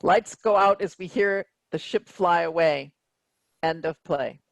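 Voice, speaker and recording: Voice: medium pitch, measured pace, vocal fry, dry recording. A narrator reading stage directions for a play in scripted narration.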